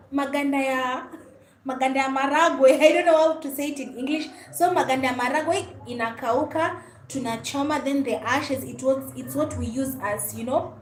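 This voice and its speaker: Casting: female livestream host, Kenyan accent, high register, friendly casual chat, recorded in a reverberant room. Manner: friendly, mixes English and Swahili, often thanks and greets viewers. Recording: echoey room with faint background noise.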